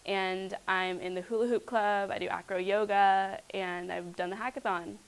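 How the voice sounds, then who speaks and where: A woman's voice: medium pitch, fairly even intonation, normal speed, smooth, rough recording. A young woman, a graduating student, talking in a playful, upbeat way. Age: young adult. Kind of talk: casual personal introduction.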